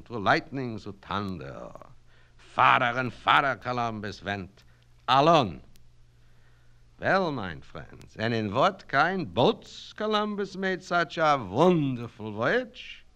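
Pompous night student, high and booming, read by a man. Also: exaggerated voice. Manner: broken grammar, declaiming and loud. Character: pompous immigrant night-school man, grandiose arguer. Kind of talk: storybook reading.

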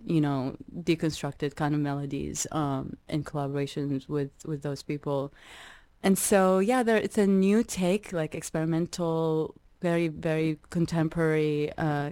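A young adult woman from Iraq, a musician guest in a radio interview, talking reflectively with many pauses and restarts. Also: deep voice, a little mellow, smooth, no room sound.

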